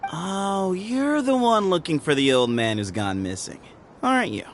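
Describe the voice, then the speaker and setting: An actor playing a sly, teasing, cocky mercenary boss in a video game, with a theatrical, mocking drawl. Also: male voice, high-pitched, very animated, normal speed, slight background noise. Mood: teasing, probing.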